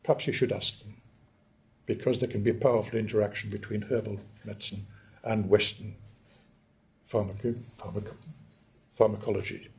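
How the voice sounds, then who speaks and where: An older man from northeast England, giving a measured, emphatic academic lecture and often clearing his throat. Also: on the low side, steady tone, darkish tone, rough recording. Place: lecture hall.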